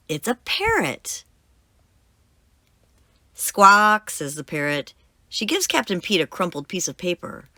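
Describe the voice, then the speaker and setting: A woman reading a children's book aloud as host, with expressive, emphatic storytelling. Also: lowish voice, no room sound.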